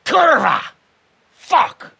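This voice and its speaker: A man's voice: very high-pitched, very animated, extremely slow, creaky, pushed and bright, heavily acted. An actor playing a blunt, foul-mouthed and explosive medieval nobleman in a dramatic game cutscene. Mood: furious.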